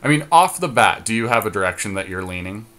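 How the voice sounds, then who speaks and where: A man's voice: on the low side, expressive, fast, fairly bright tone. A man co-hosting a podcast, chatting casually about card game strategy and thinking his choices through aloud. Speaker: podcast co-host.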